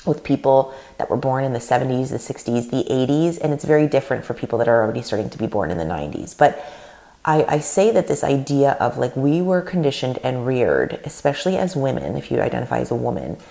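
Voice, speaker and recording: Brief: woman podcast host, thirties, very deep voice, gravelly, earnest and rambling. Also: fast. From Canada. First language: Russian. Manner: earnest, animated, rambling with restarts. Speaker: podcast host and leadership coach. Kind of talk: solo podcast talk.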